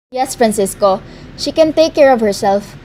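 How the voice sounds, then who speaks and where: Highish-voiced practical mother played by a woman. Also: a little mellow, heavily acted. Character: practical middle-aged mother and lady of the house. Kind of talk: amateur radio play acting. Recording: faint background noise.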